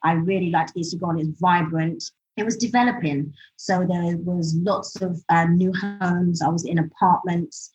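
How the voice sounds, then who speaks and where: A woman's voice: lowish voice, steady tone, unhurried, few pauses, darkish tone. Chatty British-accented woman, lo-fi sound. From Nigeria. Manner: chatty storytelling, laughs easily. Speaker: podcast guest. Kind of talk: casual interview conversation.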